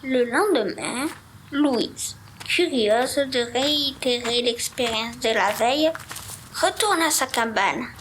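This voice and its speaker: A woman narrating a radio story in a measured, deliberate manner. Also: fairly high pitch, lively intonation, fairly bright tone, a little hiss or hum, poor audio.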